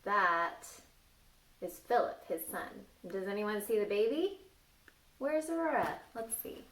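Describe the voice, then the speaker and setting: A woman's voice: medium pitch, sing-song, measured pace, a bit bright. A woman reading a story aloud to young children, playfully stopping to ask them questions and exclaim. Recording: distant microphone.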